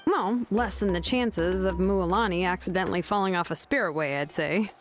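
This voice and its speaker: A woman's voice: on the low side, normal speed, lightly acted. An actress playing an easygoing adult craftswoman in a video game, talking in a laid-back, matter-of-fact way. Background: game music.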